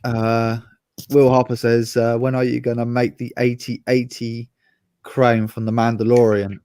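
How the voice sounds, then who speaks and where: A man's voice: mid-range voice, level tone, measured pace, mellow. A man from the UK chatting casually on a livestream panel, rambling in a laid-back way and laughing often. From United Kingdom. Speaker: panel guest.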